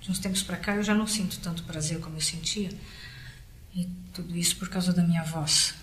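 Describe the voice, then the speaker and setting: A woman's voice: lowish voice, steady tone, brisk, a little hiss or hum, natural voice. An actress playing a worried female teacher who speaks confidingly to her doctor. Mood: anxious. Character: anxious female teacher troubled about her voice. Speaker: teacher as a patient. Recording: roomy echo.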